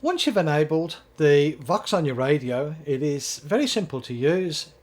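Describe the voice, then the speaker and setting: A man's voice: on the high side, very animated, normal speed, a little mellow. Methodical man narrating a product demo. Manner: methodical, step-by-step explaining. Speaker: product reviewer. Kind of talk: scripted product demonstration narration.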